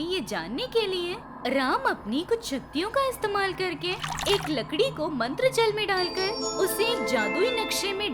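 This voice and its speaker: A woman tells a children's story as its narrator. Her voice is very high and moves animatedly in pitch. Music plays clearly behind the scripted narration.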